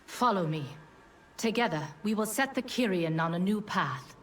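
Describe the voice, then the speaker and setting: A woman playing a shrouded, scheming rebel leader in a fantasy game, grave and deliberate. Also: mid-range voice, lively intonation, slight background noise, close to an everyday voice. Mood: grave, conspiratorial. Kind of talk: fantasy video game voice acting. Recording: distant voice with background ambience.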